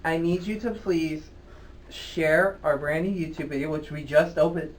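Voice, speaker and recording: A man's voice: a little high, steady tone, moderate pace, far from the mic. A man hosting a live college radio show in the studio, interviewing a band in a playful, flustered, laughing way.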